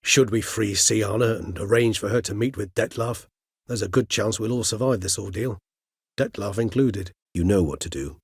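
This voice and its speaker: Low-voiced, erudite vampire gentleman. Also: gravelly, husky, dry recording, clean studio sound. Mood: earnest, measured. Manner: eloquent, formal, measured, archaic wording. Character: erudite, courteous, centuries-old male vampire gentleman scholar. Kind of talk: fantasy video game character dialogue.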